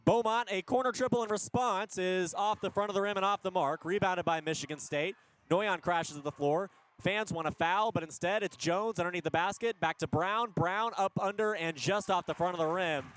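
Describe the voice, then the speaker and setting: A man doing energetic live radio basketball play-by-play in punchy short phrases, rising on big plays. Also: sits high, normal speed, clear, resonant tone. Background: basketball arena ambience.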